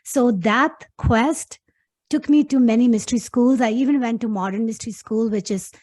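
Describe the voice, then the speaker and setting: A woman's voice: fairly high pitch, normal speed, a little mellow. A middle-aged woman from Pakistan, a guest talking earnestly in a casual interview and laughing easily.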